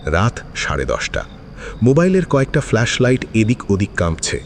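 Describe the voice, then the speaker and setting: A man's voice: lowish voice, wildly swooping pitch, normal speed, vocal fry, slight background noise. A man narrating a suspense thriller in a dramatic, scripted storytelling style.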